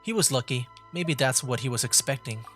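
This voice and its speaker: A man's voice: medium pitch, a little fast, a bit bright. A man giving casual commentary as he streams a video game. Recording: stream voice over game music.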